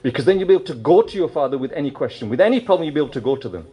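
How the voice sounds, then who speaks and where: A man giving a mosque sermon, animated and full of rhetorical questions, slipping in Urdu and Punjabi phrases. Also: a little high, very fast, breathy edge. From United Kingdom. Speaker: preacher.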